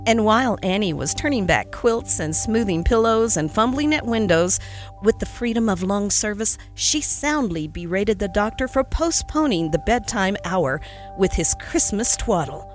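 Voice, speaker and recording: A woman narrates a classic story aloud with expressive, lilting delivery. Her pitch moves in a lively way through each sentence. Quiet music plays in the background. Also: normal speed. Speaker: podcast host and story narrator.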